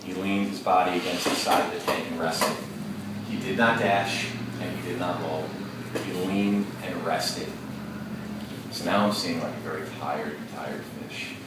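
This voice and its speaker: A deep-voiced male teacher reads a story aloud to his class, slow and deliberate, pausing to think aloud and ask questions. He is heard from across a very echoey classroom, with steady noise behind him. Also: far from the mic.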